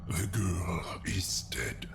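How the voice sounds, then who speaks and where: A man voicing a sinister, coaxing devilish entity in an analog horror, with a breathy, gravelly voice and formal, deliberate delivery. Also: deep voice, animated, very noisy, full character voice. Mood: cold, solemn. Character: sinister devilish male entity, coaxing, silkily menacing deal-maker.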